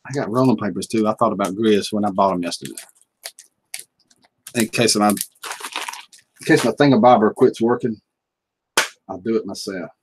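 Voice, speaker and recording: A man's voice: on the low side, normal speed, pauses often, background noise. A middle-aged man with a Southern US accent, chatting casually and folksily while he hosts a livestream and reads out the chat. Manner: folksy and chuckling, drawls out words. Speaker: livestream host reading chat.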